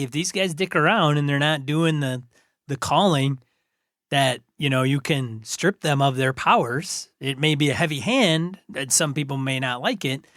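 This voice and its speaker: A man with a mid-pitched voice co-hosts a casual gaming podcast. He is easygoing and quick to agree, banter and push back lightly. The recording is dry and close. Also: fairly bright tone, no echo. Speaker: podcast co-host.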